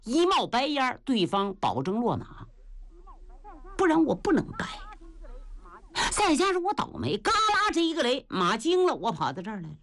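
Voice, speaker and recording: A woman's voice: fairly high pitch, huge pitch swings, moderate pace. A woman voicing an anxious young male warrior who pleads in a flustered way.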